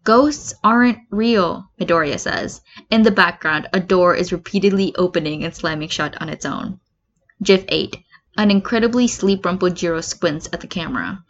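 A woman's voice: lowish voice, normal speed. Woman narrating fiction, slightly dull recording. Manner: acts out comments and quotes. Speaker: fan fiction reader. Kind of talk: scripted narration of a comedic story.

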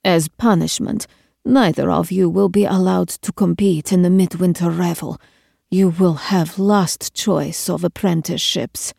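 A woman's voice: lowish voice, steady tone, measured pace, vocal fry, a little mellow, breathy edge, no room sound, clean studio sound, heavily acted. A woman voicing a stern, imperious queen in an audiobook story, cold, clipped and commanding. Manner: clipped, authoritative, scolding.

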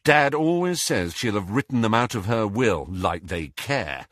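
A man's voice: lowish voice, lively intonation, measured pace, a bit bright, no echo, studio quality. A man voicing a blunt, good-natured teenage boy in an audiobook, grumbling in a casual, offhand way.

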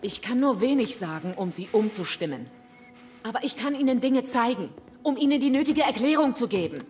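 An actress playing a proud, composed female rebel leader in a German audio drama, speaking formally with measured defiance. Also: fairly high pitch, animated, a little mellow, faint music behind, lightly acted. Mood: measured, firm.

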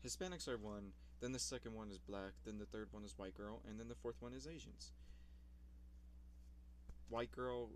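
A man's voice: mid-range voice, a little hiss or hum. A young man in casual vlog commentary, rambling about his old videos, swearing casually and laughing at himself.

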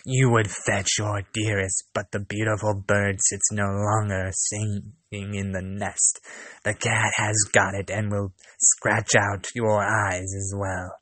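A man voicing a wicked old enchantress woman who scolds and mocks, raised a little above his own low, dry voice. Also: little pitch movement, takes it slowly, fairly bright tone, no room sound. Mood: mocking. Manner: mocking, scolding.

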